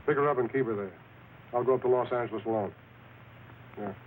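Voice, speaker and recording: A man's voice: medium pitch, quick pace, slight background noise, close to an everyday voice. An actor with an American accent playing a laconic, wry undercover lawman in an old radio noir drama. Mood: terse. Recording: tinny old radio recording with music.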